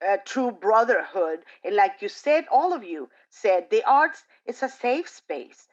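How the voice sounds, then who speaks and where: A woman's voice: on the high side, a little slow. Earnest woman on a dull video call. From outside the United States. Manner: expressive and earnest, many fillers. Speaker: panelist. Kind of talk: panel discussion on a video call.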